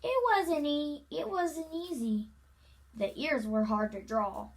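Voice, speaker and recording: A woman's voice: high voice, expressive, slow pace. A female amateur reader reads a children's novel aloud with casual asides, stumbling over words and repeating them. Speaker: amateur reader hosting a read-aloud video.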